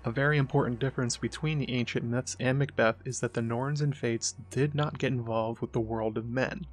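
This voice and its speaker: A man hosts with scripted, explanatory narration. His voice sits at an average male pitch, and he reads as a presenter laying out a subject. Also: fairly even intonation, a little fast. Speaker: host, presenter.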